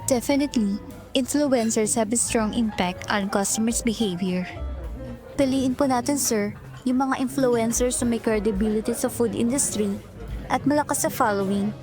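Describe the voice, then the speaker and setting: A high-pitched young Filipino office worker played by a woman over clear background music. She is eager and deferential, with a flustered, girlish streak of secret affection, in the manner of a romantic audio drama. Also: moderate pace, natural voice. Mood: earnest, professional. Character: eager young female marketing employee, secretly smitten with her boss. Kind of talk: romantic audio drama acting, Tagalog-English mix.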